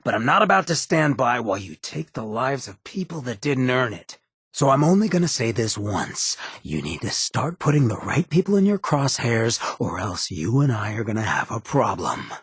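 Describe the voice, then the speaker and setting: An actor playing a roguish, streetwise ghoul in a video game, with a very raspy, gravelly voice and a cocky, laid-back, slangy way of talking. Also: male voice, mid-range voice, big pitch swings, moderate pace, a bit bright, dry recording, studio quality, exaggerated voice. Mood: stern, disappointed. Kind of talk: video game companion dialogue.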